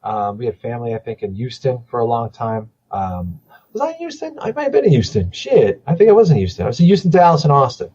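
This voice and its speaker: A man in his forties, a podcast guest, chatting casually with a voice that is going hoarse from a cold, swearing freely and rambling with lots of restarts. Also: medium pitch, huge pitch swings, quick pace, dark tone, muffled recording. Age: 40s. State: losing his voice, starting a cold. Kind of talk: casual chat.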